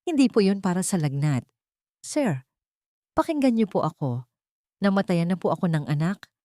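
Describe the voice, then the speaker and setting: Shaky polite maid begging to be heard, warm low voice, lady reading it. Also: big pitch swings, moderate pace, no room sound, clean studio sound. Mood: pleading. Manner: trembling polite pleading. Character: grieving young maid, trembling and pleading.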